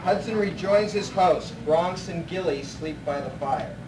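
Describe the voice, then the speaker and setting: A man's voice: on the high side, measured pace, close to an everyday voice. A man narrating a live radio play as a plain-spoken storyteller. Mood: storytelling. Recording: echoey noisy hall, distant mic.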